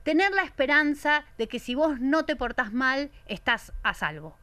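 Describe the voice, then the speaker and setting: A woman in her thirties with an Argentine accent, a guest in a casual studio podcast chat, talking emphatically with drawn-out pauses. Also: high voice, animated, pushed and bright, no echo. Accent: Argentine Spanish. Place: small bright studio. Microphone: desk mic on stand.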